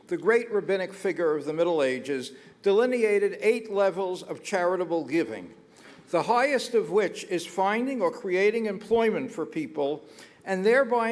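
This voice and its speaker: A man, a rabbi, giving a solemn, deliberate speech with the cadence of a sermon. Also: sits high. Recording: reverberant hall.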